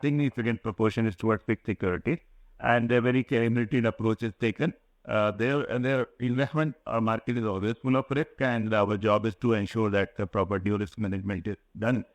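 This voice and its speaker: A man's voice: fairly low pitch, no echo. Formal Indian man, dry sound. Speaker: insurance company executive. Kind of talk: answering questions on an earnings call.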